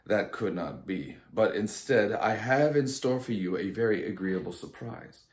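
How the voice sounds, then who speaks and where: A man's voice: lowish voice, animated, measured pace, reverberant. A man voicing an eccentric, teasing old watchmaker godfather in a storybook, with a droll, theatrical manner. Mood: teasing, courteous.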